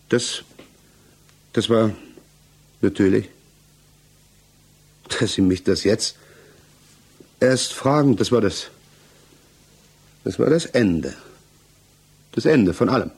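An actor playing a dejected, cornered husband under interrogation in a 1960s German radio play, speaking hesitantly. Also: male voice, lowish voice, animated, a little mellow, breathy edge, heavily acted. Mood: despairing. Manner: halting, hesitant, subdued. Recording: mono 1960s radio recording.

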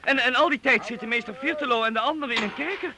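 A man's voice: very high-pitched, fast talker, crowd noise. A man playing a young, curious and impressionable apprentice boy in a medieval Dutch radio drama, with a wide-eyed, questioning manner. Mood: worried.

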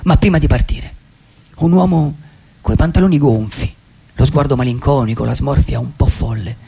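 A male actor playing a melancholy wandering drifter who tells his life in a poetic, theatrical radio monologue with a husky, gravelly voice. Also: mid-range voice, dark tone, a little hiss or hum, lightly acted. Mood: storytelling. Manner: theatrical, lyrical, with pauses for effect.

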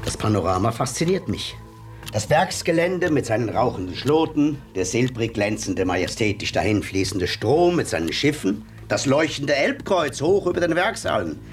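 Guy playing a gushy visitor from switzerland, mid voice, very lively, bit of music under it. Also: mid-range voice, normal speed, lightly acted. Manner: effusive, admiring. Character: enthusiastic male Swiss visitor. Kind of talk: radio drama acting.

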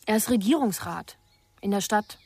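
An actress playing a frightened, guarded young daughter of a respected family, hesitant and subdued in a radio drama. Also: female voice, medium pitch, lively intonation, moderate pace, darkish tone, slightly rough, dry recording, faint music behind. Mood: anxious, guarded. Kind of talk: German radio crime drama acting.

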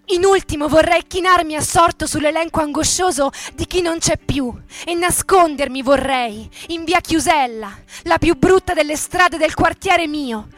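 A woman giving a solemn, declamatory recitation of poetic text for radio theatre, in measured phrases. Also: high voice, a bit bright. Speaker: stage performer reading. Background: music.

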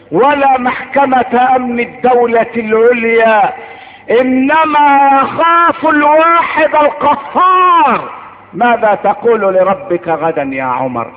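A man with an Egyptian accent delivering an impassioned sermon, emphatic and declamatory, repeating phrases for effect. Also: sits high. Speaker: preacher. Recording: phone-like band, long hall reverb.

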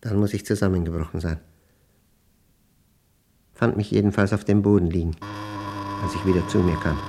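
An actor playing an obsessive, paranoid male professor in a German radio play, with a gravelly voice and emphatic, halting delivery. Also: deep voice, fairly even intonation, background noise. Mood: shaken, incredulous. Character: obsessive, paranoid male professor battling his machine's will.

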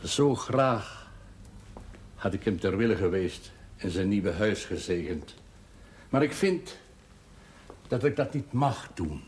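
An actor playing a seventy-six-year-old priest, speaking slowly and solemnly in a husky voice, in a 1970s television drama. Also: male voice, on the low side, expressive, exaggerated voice. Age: 70s. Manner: slow, measured, solemn delivery. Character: old male priest, wise and melancholy.

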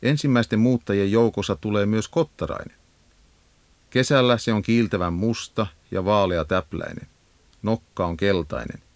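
A man reading scripted educational narration for a nature guide in a measured, instructive manner. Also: on the low side, no echo. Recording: 1980s audio cassette narration.

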